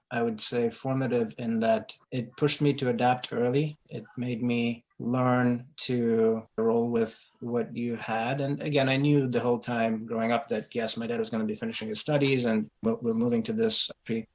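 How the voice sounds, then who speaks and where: Guy from ukraine and sierra leone, talks pretty flat and measured, guest on a show. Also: medium pitch, poor audio. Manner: even, measured, with restarts. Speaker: guest real estate investor. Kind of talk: interview storytelling.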